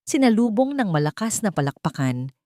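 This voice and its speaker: A woman narrating a scripted romantic drama story in short, measured, dramatic phrases. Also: mid-range voice, sing-song, mellow, dry recording, clean studio sound.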